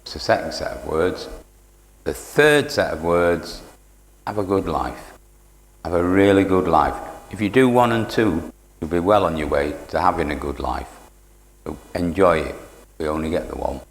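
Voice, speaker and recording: A man's voice: sits low, moderate pace. An older man, talking plainly and earnestly in a live interview, with dry humour. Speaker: community radio station manager, interview guest. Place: radio studio stage in an indoor market. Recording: long reverb of a live hall.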